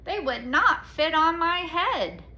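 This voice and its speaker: Woman voicing an earnest, silly little schoolgirl, high and bright. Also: lively intonation, slow, exaggerated voice. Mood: innocent. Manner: innocent, puzzled, takes words literally.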